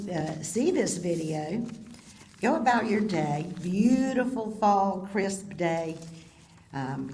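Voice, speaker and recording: A woman's voice: lowish voice, a little mellow, a little hiss or hum. Chatty woman giving an event speech. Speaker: event speaker. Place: college event hall.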